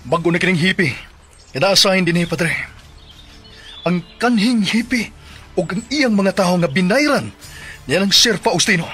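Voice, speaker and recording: An earnest young Filipino policeman in a radio play. His voice is high and forceful, and he declaims every vow of justice over faint music. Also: animated, normal speed, long pauses, slightly rough, exaggerated voice. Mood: firm, resolute. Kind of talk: radio drama acting. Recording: music behind the voice.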